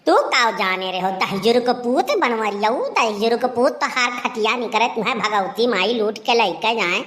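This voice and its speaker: A woman's voice: a little high, moderate pace, fairly bright tone, close to an everyday voice. A woman voicing a melodramatic, scolding village woman in a comedy cartoon, with an Awadhi accent from eastern Uttar Pradesh. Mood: furious scolding. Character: loud village women, wailing and scolding over a cheating husband.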